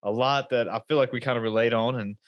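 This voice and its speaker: A man's voice: mid-range voice, fairly even intonation, fast talker, no room sound, muffled recording. A man hosting a podcast, who talks casually and rambles with many restarts. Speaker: podcast host.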